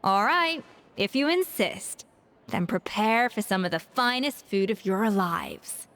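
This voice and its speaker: A woman's voice: medium pitch, animated, takes it slowly, fairly bright tone. A woman playing a proud, self-assured young master chef in an anime game, composed and earnest, with articulate, formal phrasing. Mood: confident.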